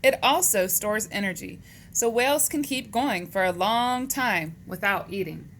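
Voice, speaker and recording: A woman's voice: medium pitch, measured pace, a bit bright. A woman reading a children's book aloud, speaking to young listeners in a question-and-answer manner, on a noisy recording.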